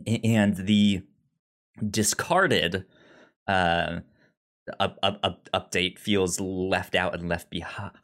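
A man's voice: low-pitched, steady tone, slow pace, pauses often, a bit bright. A man hosting a podcast in a casual, conversational way, often stammering and repeating syllables as he goes. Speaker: podcast host.

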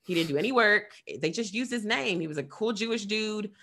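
Animated, passionate woman talking. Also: mid-range voice, brisk. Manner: animated, emphatic, laughing asides. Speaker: podcast co-host. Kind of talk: passionate casual discussion.

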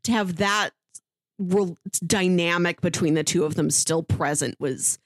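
A woman's voice: lowish voice, unhurried, dry recording, clean studio sound. A woman talking casually and thoughtfully as a podcast co-host, reviewing a story in conversation.

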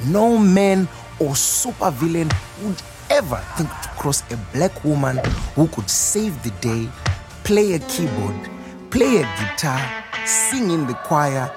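An actor playing a proud, warm restaurant owner reminiscing in a theatrical storytelling monologue. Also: male voice, a little high, heavily acted. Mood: proud, fond. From Zimbabwe. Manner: animated, chuckling, theatrical storyteller. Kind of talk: dramatised monologue, storytelling to a customer.

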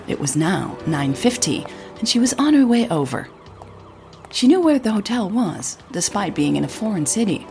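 A woman reading scripted audio drama narration in a husky voice. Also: mid-range voice, very animated, moderate pace, darkish tone, soft background music.

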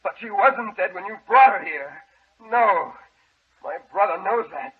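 An actor playing a distraught, obsessed grieving husband in an old-time radio horror drama, melodramatic and anguished. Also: male voice, high voice, expressive, unhurried, phone-quality sound, a crowd in the background. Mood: anguished accusation. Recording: thin, band-limited vintage radio recording.

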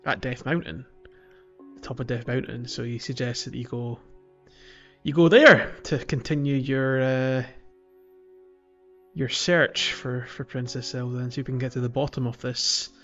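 A man's voice: mid-range voice, fairly even intonation, normal speed, a bit bright, faint music behind. A man hosting a podcast, explaining a video game playthrough casually and a bit hesitantly. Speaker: podcast host. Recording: slightly dull lo-fi audio.

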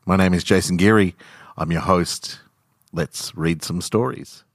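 A man's voice: sits low, unhurried, faint music behind. A man hosting a podcast and reading literary stories in a reflective, conversational way. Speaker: podcast host.

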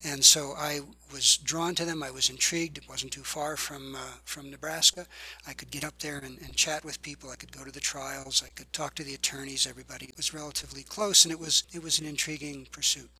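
A man's voice: a little high, fairly even intonation, a little fast, sharp tone, rough recording. An older man, an author and journalism professor, answering podcast interview questions thoughtfully, often repeating words as he searches for the phrase.

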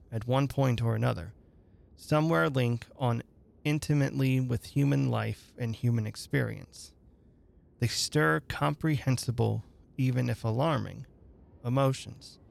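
A man narrating a literary horror story in a measured, formal, dramatic reading manner. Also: medium pitch, vocal fry.

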